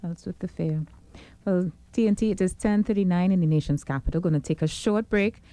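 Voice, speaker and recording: A woman's voice: fairly low pitch, a little fast, warm tone, no echo, low-quality recording. A middle-aged woman hosting a radio talk show, listening closely and asking questions. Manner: attentive, frequent affirming murmurs. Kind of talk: radio interview.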